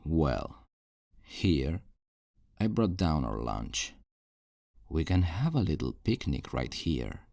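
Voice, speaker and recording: A man's voice: a bass voice, big pitch swings, vocal fry, darkish tone, no echo, full character voice. A man playing a possessive, falsely tender kidnapper in an intimate ASMR roleplay, coaxing and condescending with veiled menace. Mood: falsely cheerful. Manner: slow, coaxing, condescending endearments with veiled threats.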